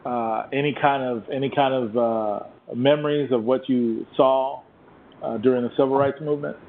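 A man from Puerto Rico whose first language is Spanish, hosting an interview and speaking haltingly, often repeating his words. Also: medium pitch, steady tone. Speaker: host. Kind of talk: interview conversation. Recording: muffled phone line, faint hiss.

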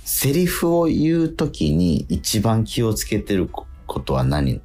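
A middle-aged man chatting casually as a radio host, in a hesitant, halting and self-doubting way. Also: on the low side, huge pitch swings, mellow. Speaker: radio host and stage actor.

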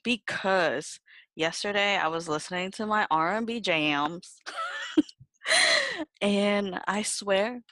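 A woman co-hosting a podcast, chatting in an animated, exclaiming way. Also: medium pitch, slow, pauses often, no echo. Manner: animated, exclaims, laughs a lot. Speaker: podcast co-host. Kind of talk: casual chat.

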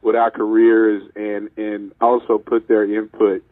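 A man co-hosting a law enforcement podcast, asking questions in a friendly, halting way that repeats words. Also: lowish voice, monotone, dry recording. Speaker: podcast co-host, police chief. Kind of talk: casual podcast interview. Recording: tinny phone-line audio.

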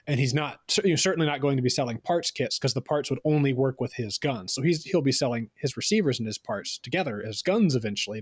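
A man with a fairly high voice talks as a podcast guest in a very dry recording. He explains technical matters casually, in rambling stories. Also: no room sound. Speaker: podcast guest, firearm designer.

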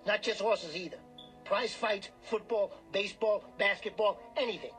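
An actor playing a desperate, greedy small-time gambler, brash and pushy, in a radio drama. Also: male voice, high voice, moderate pace, fairly bright tone, soft background music. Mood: cocky, excited. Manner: brash, wheedling, slangy, bullying.

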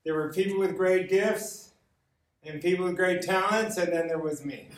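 An echoey recording, picked up at some distance, of an American man preaching. His voice sits on the higher side for a man. He speaks informally, like someone sharing personal stories, and repeats words for emphasis. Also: unhurried. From Los Angeles, United States. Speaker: guest preacher. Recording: roomy echo, distant from the mic.

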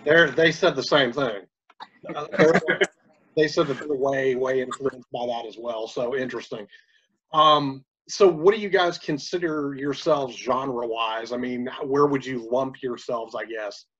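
A man's voice: mid-range voice, a little slow, a bit bright, slightly rough, highs cut off. A man hosting a casual interview with a band, speaking hesitantly with many fillers.

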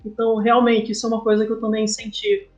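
A Brazilian woman speaking as an interview guest, explaining her creative work casually and thoughtfully in short, halting phrases. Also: on the high side, completely flat delivery, a little mellow, dull audio. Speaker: interview guest, comic artist and game writer. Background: background music.

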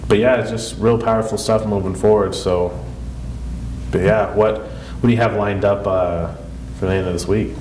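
A man's voice: lowish voice, steady tone, moderate pace, a little mellow. A man with a congested, sniffly head-cold voice, chatting casually on a podcast in an informal, rambling, earnest way. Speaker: podcast co-host. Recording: echoey room with clear background noise.

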